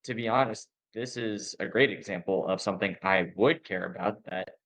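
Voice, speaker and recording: A man's voice: fairly low pitch, moderate pace, a bit bright, rough recording. A man hosting a conversational interview, reflecting appreciatively and summing up what he has heard. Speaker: interviewer, host.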